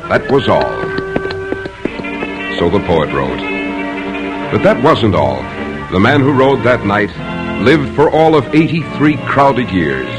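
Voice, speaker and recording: An actor narrating a 1950s radio history play in a theatrical, deliberate, eloquent way. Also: male voice, fairly low pitch. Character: solemn, poetic male narrator of a history play.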